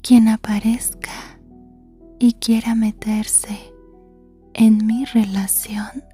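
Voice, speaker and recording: An obsessive yandere schoolgirl for an ASMR drama. Her high voice is very slow and breathy, sweetly polite on the surface and full of gloating menace underneath. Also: little pitch movement, warm tone, faint music behind, full character voice. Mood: threatening.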